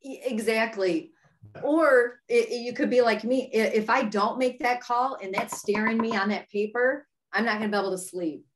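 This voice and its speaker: Candid woman with a slightly squeaky voice. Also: normal speed, a little hiss or hum. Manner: candid and upbeat, laughs at herself. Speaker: real estate broker support staff. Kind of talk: casual chat.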